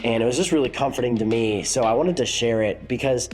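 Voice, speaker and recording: A man's voice: medium pitch, fast, darkish tone, soft background music. A congested, sick man talks through a casual reflective vlog as a worship leader, rambling with many fillers and restarts.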